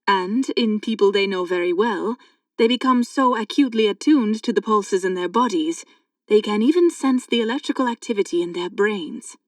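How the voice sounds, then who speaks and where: Very clear, dry-recorded voice of a knowledgeable sci-fi woman played by an actress. She explains things in a measured, patient way, as audiobook drama dialogue, sounding slightly away from the microphone. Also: mid-range voice, dry recording, recorded from a distance, lightly acted. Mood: calm explaining. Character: two sci-fi women, a knowing guide and a curious thief. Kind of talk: full-cast audiobook dialogue.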